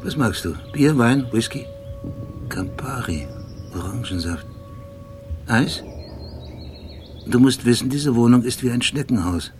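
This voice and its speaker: An actor playing an obsessive, brooding police detective who narrates a radio play in a deliberate, precise, philosophical manner. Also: male voice, mid-range voice, lively intonation, close to an everyday voice. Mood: low-key, insistent. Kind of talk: radio play acting and literary monologue. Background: music.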